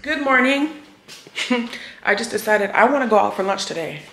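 A woman in her thirties chatting casually to viewers in a solo travel vlog, animated and slangy, with loud bursts of laughter, filmed in a hotel room. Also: on the high side, normal speed, reverberant, slight background noise, rough recording. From Haitian heritage. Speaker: travel vlogger. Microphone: camera on tripod, some handheld selfie shots.

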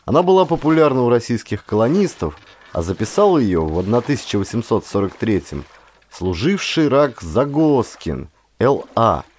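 A man narrating a historical adventure audiobook as a scripted, expressive dramatic storytelling. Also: mid-range voice, moderate pace. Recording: clear ambient sound effects behind voice. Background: ambience sound effects.

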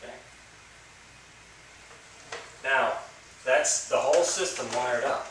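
Middle-aged guy walking thru a diy wiring job, bright forceful voice, kinda far from the cam with faint room noise. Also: medium pitch, normal speed. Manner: plain step-by-step explaining, relaxed. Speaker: DIY instructor. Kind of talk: hands-on how-to demonstration. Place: outdoors by a house, then indoor workshop. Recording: slightly distant camera audio with faint ambience.

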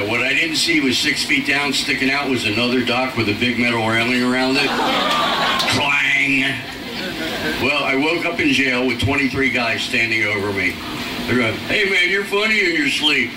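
A man's voice: medium pitch, moderate pace. An older American male comedian working an outdoor night party stage with crude, self-mocking stories, caught from out in the noisy audience with the PA echoing. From Philadelphia, United States. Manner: crude, self-deprecating, conversational delivery. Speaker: stand-up comedian. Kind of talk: live stand-up comedy storytelling. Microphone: handheld mic through PA, filmed from audience. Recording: echoey distant stage PA, loud ambient noise.

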